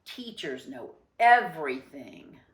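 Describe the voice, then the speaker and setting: A woman's voice: deep voice, very animated, slow, full character voice. Woman voicing an eager little mouse schoolgirl, echoey. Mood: bossy. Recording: roomy, echoing sound.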